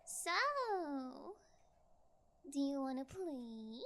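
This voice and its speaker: A woman's voice: high-pitched, big pitch swings, extremely slow, a bit bright, full character voice. A woman voicing a bored, playfully sociopathic young female kidnapper in an ASMR role play, with a lazy, teasing, falsely sweet manner. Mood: smug, falsely generous.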